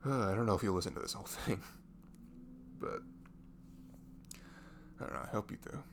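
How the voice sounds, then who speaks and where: A young man softly leaving an intimate, improvised comfort message in ASMR style, tender and reassuring, murmuring and often slipping into a breathy whisper. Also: on the low side, fairly quick. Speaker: amateur audio performer playing a comforting friend. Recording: clearly noisy home recording with hum.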